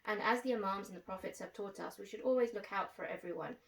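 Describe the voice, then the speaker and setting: A woman's voice: mid-range voice, quick pace, gravelly. A woman hosting a religious lesson for children, explaining things simply, step by step. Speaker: host and teacher of a children's religious programme. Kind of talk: instructional talk addressed to children. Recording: roomy echo, distant microphone.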